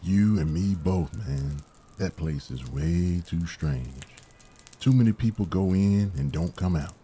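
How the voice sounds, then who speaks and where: A man's voice: deep voice, lively intonation, measured pace, dark tone, a little hiss or hum. An actor playing a wary, streetwise homeless man in an audio drama, with a laid-back, suspicious manner.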